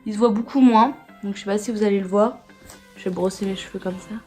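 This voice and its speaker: A young woman with a hoarse voice, chatting casually to camera in a beauty vlog, full of slang and swearing. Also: mid-range voice, steady tone, fast, soft background music. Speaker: beauty vlogger.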